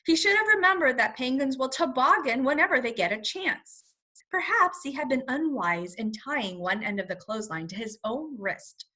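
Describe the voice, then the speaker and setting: A woman with a bright, fairly high voice reads to first graders like a teacher. Her pitch moves around a great deal as she tells the story and explains words in simple terms. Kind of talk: read-aloud lesson for young children with word explanations.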